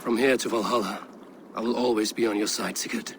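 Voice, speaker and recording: An actor playing a steadfast, wry young Viking warrior in a video game, dry and plain-spoken. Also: male voice, fairly low pitch, steady tone, a little fast, background noise, lightly acted. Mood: sincere, steady. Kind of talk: video game cutscene acting.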